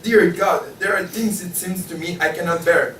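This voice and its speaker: A man acts a gloomy, irritable male editor with a fairly high voice and dry delivery. The table read is echoey and recorded from afar. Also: steady tone, normal speed, fairly bright tone. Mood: weary, irritable. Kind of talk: student table read of a stage play. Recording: distant echoey room, faint noise.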